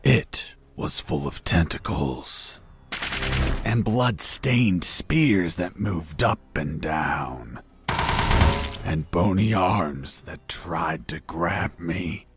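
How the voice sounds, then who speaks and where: A man playing a gruff, cocky prisoner action hero in a video game, telling his story in a deadpan drawl. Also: deep voice, extremely slow, heavy background noise, heavily acted. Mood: dramatic, deadpan.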